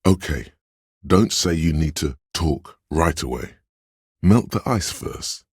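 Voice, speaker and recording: A very deep, heavily rasping inner voice from a narrative video game. It coaxes and advises with measured, deliberate drama, intimate and insinuating, in a dry, close sound. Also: male voice, lively intonation, a little mellow, no room sound, heavily acted. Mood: urgent, advising. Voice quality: deep, gravelly, husky. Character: smooth, insinuating inner voice of social intuition, coaxing, intimate. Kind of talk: scripted game narration as an inner voice giving social advice.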